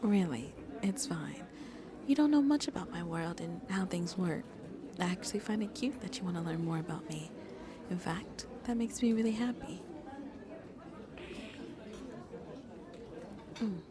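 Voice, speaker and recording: A woman playing a shy but playfully flirty vampire on a date in an ASMR roleplay, speaking softly and intimately and often slipping into breathy whispers. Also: on the low side, lively intonation, moderate pace, a crowd in the background, close to an everyday voice. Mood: gentle, reassured.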